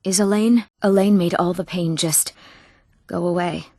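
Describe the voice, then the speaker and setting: A woman's voice: fairly low pitch, fairly even intonation, measured pace, warm tone, dry recording, studio quality. A woman voicing an emotive, dramatic young woman in a fantasy video game. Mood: bitter, wounded. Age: young adult. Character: eager, wronged young woman of a fantasy world. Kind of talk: video game character voice lines.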